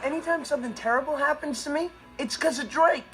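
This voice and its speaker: A man's voice: very high voice, quick pace. A young man with an American accent playing a dramatic, easily flustered teenage stepbrother, theatrical and emphatic in a sitcom monologue. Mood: exasperated. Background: loud music.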